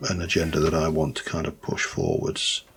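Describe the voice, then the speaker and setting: A man with a light Yorkshire accent, rambling through casual gameplay commentary with many pauses and restarts. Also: a bass voice, big pitch swings, creaky. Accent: light Yorkshire English. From Yorkshire, England. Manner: rambling, thinks aloud with many ums and restarts. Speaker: let's play host.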